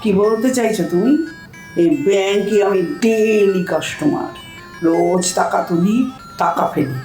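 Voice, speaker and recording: A woman's voice: mid-range voice, measured pace, a little mellow, reverberant, faint music behind, natural voice, poor audio. A woman playing a bossy, boastful older housewife in a comic audio drama, scolding and wheedling with self-important chatter. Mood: indignant.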